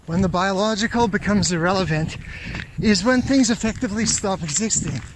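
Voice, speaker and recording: A man thinking aloud in a rambling, halting monologue, pausing often as he ponders. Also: high voice. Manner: halting, pondering, throat-clearing. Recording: noisy outdoor recording with clicks.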